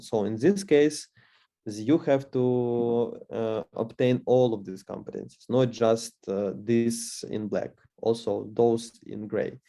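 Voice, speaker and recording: A man's voice: mid-range voice, warm tone. A young man with a Russian accent, a native Russian speaker, giving a webinar lecture as course instructor with many fillers and restarts.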